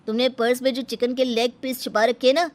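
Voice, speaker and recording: A woman voicing an indignant brother of the bride in a cartoon story, scolding and accusing forcefully. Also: a little high, level tone, a little fast, noisy background, voice pushed up, natural voice. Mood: angry, scolding. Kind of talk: Hindi cartoon story acting.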